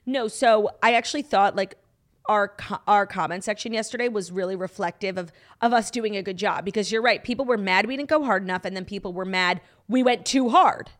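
Brief: young woman, New York accent, casual animated podcast co-host, mid-pitched voice. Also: a little fast. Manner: animated, swearing, heavy use of "like". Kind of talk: casual chat.

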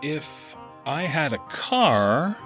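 A man's voice: fairly high pitch, big pitch swings, drawn-out words, a bit bright, faint music behind, pitched above the performer's own voice, exaggerated voice. A man playing an earnest, stubborn teenage boy in a horror story audiobook. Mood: stubborn, grumpy. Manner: earnest, a little grumpy. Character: teenage boy, stubborn amateur sleuth turned terrified. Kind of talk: first-person horror story character.

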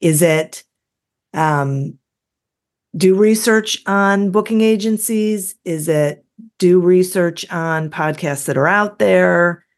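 A woman's voice: on the low side, slow pace. A woman hosting a business podcast, asking rambling questions in a friendly way and reading a scripted outro. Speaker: podcast host.

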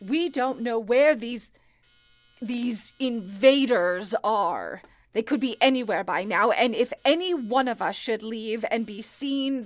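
Woman acting a commanding, high-voiced switchboard supervisor. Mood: grave, urgent. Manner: authoritative, deliberate, instructing. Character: calm, commanding female telephone operator supervisor in wartime-like crisis. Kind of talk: old-time radio play acting.

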